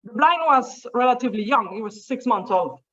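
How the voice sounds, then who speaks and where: A woman's voice: a little high, expressive, brisk, fairly bright tone. A young woman with a Spanish accent gives an informal, storytelling seminar talk over a video call. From Spain. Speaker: seminar speaker. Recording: muffled video call audio.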